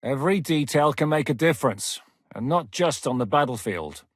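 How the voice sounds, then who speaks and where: A man's voice: medium pitch, animated, moderate pace, no room sound, studio quality, close to an everyday voice. A man voicing a blunt, foul-mouthed war captain. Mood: gruff. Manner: gruff, curses freely, barks orders. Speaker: military commander. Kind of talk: video game voice acting.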